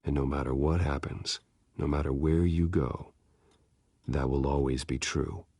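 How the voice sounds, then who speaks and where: A man playing a brooding, protective masked vigilante in a video game, speaking gravely and tersely in a gravelly growl. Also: extremely low pitch, fairly even intonation, unhurried, no room sound, clean studio sound, full character voice. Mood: solemn, reassuring. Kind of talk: dramatic video game acting. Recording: loud music under the voice.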